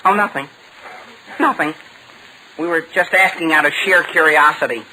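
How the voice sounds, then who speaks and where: Guy doing an earnest teen boy, american, high voice, flustered and stammery, old 1940s radio sitcom with noisy hiss. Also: moderate pace, close to an everyday voice. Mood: nervous. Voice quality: youthful. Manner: stammering, excitable. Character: earnest, flustered teenage boy, bumbling schemer. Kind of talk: 1940s radio comedy acting. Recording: noisy vintage 1940 radio recording.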